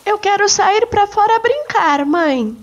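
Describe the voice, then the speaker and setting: A woman's voice: very high voice, animated, slow pace, voice pushed up, heavily acted. A woman voicing a bored, indignant little boy who whines close to tears. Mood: whiny. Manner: whiny, tearful. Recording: slightly muffled with soft music.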